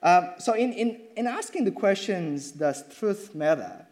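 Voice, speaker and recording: Male preacher, slightly high, mellow tone, halting delivery. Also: animated. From Indonesia. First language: Indonesian. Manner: halting, with many fillers and restarts. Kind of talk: sermon with slides.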